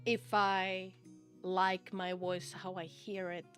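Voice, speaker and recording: A woman's voice: on the low side, level tone, slow pace, fairly bright tone, soft background music. A woman from the Czech Republic with a Czech accent, a Czech native speaker chatting casually with her stream audience, laughing often and repeating "okay?" to drive her points home. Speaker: VTuber streamer. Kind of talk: casual livestream chat, answering viewer chat.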